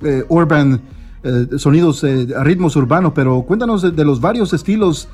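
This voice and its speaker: A man's voice: fairly high pitch, normal speed, darkish tone, faint music behind. A man hosting a radio interview, enthusiastic and hesitant, with many fillers and restarts. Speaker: radio host.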